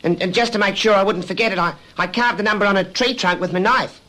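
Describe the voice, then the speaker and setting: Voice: mid-range voice, fast, heavy background noise, lightly acted. A performer playing an eager, slightly hesitant small country boy in a radio drama.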